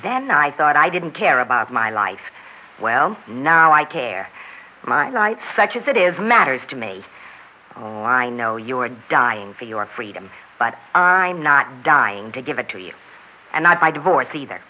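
Embittered, argumentative wife, sarcastic and animated, vintage radio sound. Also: female voice, extremely low pitch, measured pace, full character voice. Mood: bitter. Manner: sharp, reproachful, sarcastic. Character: bitter, accusing, argumentative unhappy wife. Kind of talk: 1960s radio drama acting. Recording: dull 1960s radio recording.

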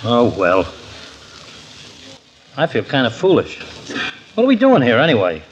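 A man's voice: mid-range voice, huge pitch swings, a little slow, dull audio. An actor playing a brash, wisecracking, reluctant regular guy with slangy delivery in 1940s radio comedy, on a noisy old recording. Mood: skeptical.

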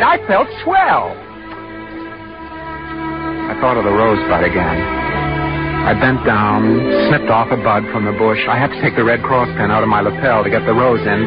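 A man's voice: fairly low pitch, natural voice. An actor playing a mild, henpecked middle-aged American office man, touchy and defensive, in a 1940s radio drama style. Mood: indignant. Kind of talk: 1940s radio drama acting, first-person narration. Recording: muffled old 1940s radio, music behind.

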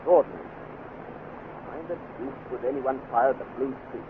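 An actor playing a stern, thoughtful military colonel in a 1950s radio serial. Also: male voice, mid-range voice, moderate pace, phone-quality sound, lightly acted. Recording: thin, faintly noisy old radio recording.

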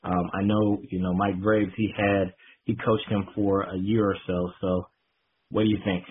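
A man with a husky voice leaving a casual voicemail question for a show as a listener, over the phone. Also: sits low, level tone, normal speed, a little hiss or hum. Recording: tinny, narrow phone voicemail line.

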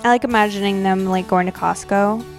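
A woman's voice: mid-range voice, steady tone, fairly quick, over music. A woman co-hosting a podcast, chatting informally with short, wry reactions. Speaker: podcast co-host. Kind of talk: casual chat.

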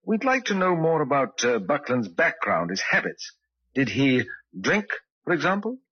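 An actor playing a courteous, shrewd police inspector in an old radio drama, formal and probing in manner. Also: male voice, a little high, sing-song, moderate pace, breathy edge. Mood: polite, probing. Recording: dull old radio recording.

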